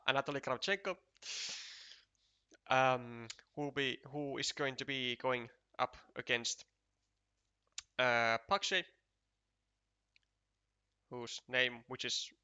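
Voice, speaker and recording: A man with a Finnish accent giving casual, unhurried commentary on a board game match for a live stream, chatty and full of fillers. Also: medium pitch, sharp tone, clear, resonant tone.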